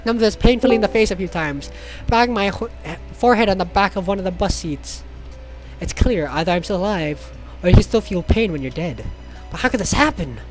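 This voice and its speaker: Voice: mid-range voice, animated, a little fast. An irreverent, swearing speaker reading a visual novel aloud for comedy, stumbling over words and throwing in joking asides. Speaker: reader of the game text for the group. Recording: loud music under the voice.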